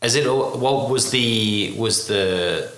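A man's voice: lowish voice, slow pace, fairly bright tone, roomy sound. A man hosting a podcast, chatting casually and reacting with enthusiasm. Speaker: podcast host. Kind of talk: casual chat.

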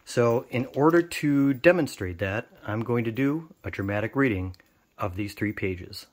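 A man in his thirties, a comic art collector, giving a casual and relaxed spoken introduction with some fillers. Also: mid-range voice, a little slow. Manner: relaxed, plain talk with fillers.

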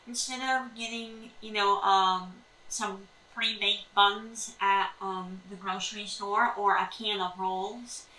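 A woman's voice: medium pitch, bright, forceful tone. An American woman in her thirties co-hosting a homesteading vlog, chatting through tips to camera. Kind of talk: explanatory chat to camera with tips. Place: indoors at a table in a small sunlit room by windows. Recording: echoey room, distant mic, faint noise.

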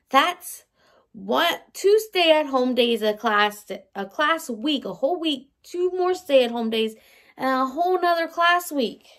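Woman, thirties, high voice, slow careful pace, animated teacher style, children's story time. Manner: animated, addressing young children, asking questions. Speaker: early learning teacher. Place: indoors by a large window in a room at home.

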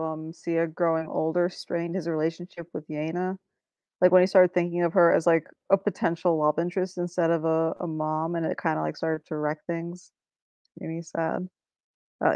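Woman hosting book chat, lower voice, very dry, chatting casually on a video call. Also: level tone, normal speed, darkish tone, dry recording. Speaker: discussion host. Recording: dull, lo-fi video call audio.

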